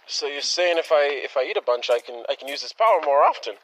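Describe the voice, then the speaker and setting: Guy playing young rookie hero, kinda high voice, stammers, super casual and slangy, audio drama. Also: lively intonation, fast, fairly bright tone, slight background noise, rough recording. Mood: winded, puzzled. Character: eager, slangy young male superhero intern, rattled.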